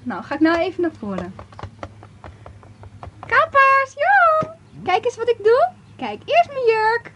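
A woman playing flirty, high-voiced nurse Lola. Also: wildly swooping pitch, takes it slowly, long pauses, pushed and bright, heavily acted. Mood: flirty, teasing. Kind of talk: amateur radio play acting. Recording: noisy home-made tape.

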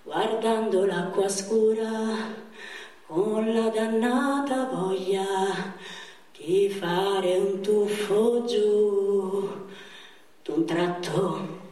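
A woman playing an anguished 31-year-old man in a theatrical monologue that moves between halting, repetitive speech and impassioned song. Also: medium pitch, dark tone. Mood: halting, pleading. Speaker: stage actress. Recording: echoey, distant stage recording with music.

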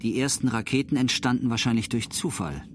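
A man's voice: mid-range voice, brisk, slight background noise. A man reading scripted documentary narration as a storyteller of history.

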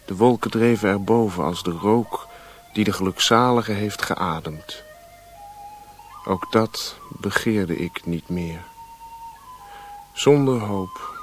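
A world-weary wanderer in a radio monologue. His lowish voice moves slowly and poetically, dreamy and resigned, over clearly audible music. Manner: measured, poetic, reflective.

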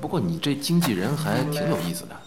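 An actor playing a proud, playful male film star with a soft spot, easily made awkward, in an audio drama. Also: medium pitch, very animated, quick pace, loud music behind the voice. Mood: sheepish. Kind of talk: Chinese audio drama acting.

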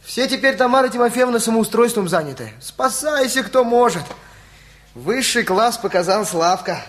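A man's voice: very high voice, animated, moderate pace, a little hiss or hum. A man playing a level-headed, loyal teenage boy in a Russian radio play, polite but guarded and blunt. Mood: matter-of-fact, rueful.

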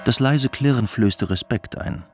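A man's voice: mid-range voice, a little slow. A man narrating a radio play in a scripted, literary style. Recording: studio narration with faint music.

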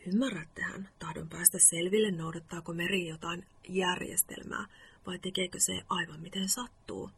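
Storybook moomin dad, amateur scholar, played by a woman reader: grave, pompous, earnest, with audible fry. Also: on the low side, steady tone, a little hiss or hum. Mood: self-important. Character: earnest, self-important moomin father, amateur scholar of the sea.

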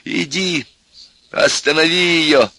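A man's voice: high-pitched, sing-song, slow, creaky, pushed and bright, breathy edge, no room sound, pitched above the performer's own voice, heavily acted. A man voicing a tipsy, desperate professor in a story, wailing and pleading melodramatically, close to hysterics.

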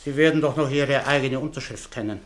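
A man's voice: medium pitch, a little fast, slight background noise, natural voice. Male actor for a blunt, menacing henchman in a radio play; mid-range voice. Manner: curt, threatening.

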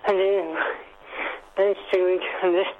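Halting man on a noisy phone line. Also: high-pitched, flat delivery. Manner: halting, repetitive, struggling to get words out. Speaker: caller.

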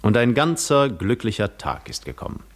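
A man's voice: fairly low pitch, huge pitch swings, moderate pace, gravelly, exaggerated voice. An actor playing a reflective, skeptical wanderer who narrates his own story soberly in a 1950s German radio drama. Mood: reflective narration.

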